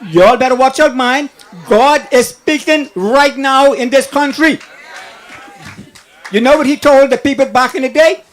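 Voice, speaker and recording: Fiery older Bahamian man, very high voice. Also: unhurried. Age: 60s. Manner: preachy, fiery, repeats warnings. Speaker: caller. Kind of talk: impassioned call-in rant.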